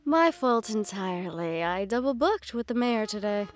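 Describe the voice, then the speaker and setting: A woman's voice: mid-range voice, sing-song, moderate pace, faint music behind, lightly acted. A woman playing a composed but anxious mafia boss's right-hand assistant in an intimate audio roleplay. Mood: apologetic.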